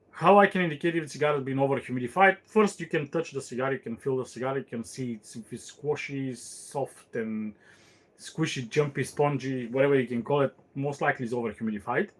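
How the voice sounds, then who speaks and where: Man, podcast host, casual chat, fillers and restarts, mid-pitched voice. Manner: thoughtful, meandering, with frequent fillers and restarts. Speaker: podcast host answering listener questions.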